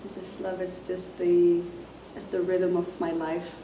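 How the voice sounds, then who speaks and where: A woman from Zimbabwe, introducing herself as a musician in a soft, halting way, with many fillers. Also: fairly low pitch, completely flat delivery. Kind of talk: casual self-introduction to camera. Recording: roomy, distant mic, faint noise.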